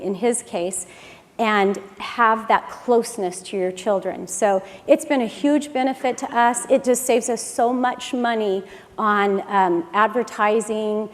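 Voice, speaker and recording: A woman presenting her workplace's childcare program at a conference and answering a question, in an earnest, upbeat way with some restarts. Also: medium pitch. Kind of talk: prepared conference talk, then answering a question. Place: large conference hall. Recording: reverberant conference hall.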